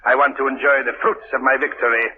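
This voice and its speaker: A cold scheming villain relishes every threat, as an actor voices him in a vintage radio play. His speech is smooth and unhurried, pitched in a middle register. The sound is thin and telephone-narrow with a clear hiss behind it. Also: flat delivery, lightly acted. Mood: menacing. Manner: smooth, menacing, relishing cruelty. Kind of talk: 1940s radio acting. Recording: tinny noisy old radio broadcast.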